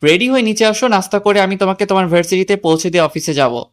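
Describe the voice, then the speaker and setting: A woman voicing a composed, bossy young husband, giving calm instructions in a romantic audio story. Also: mid-range voice, racing, natural voice. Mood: firm. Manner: calm, directive.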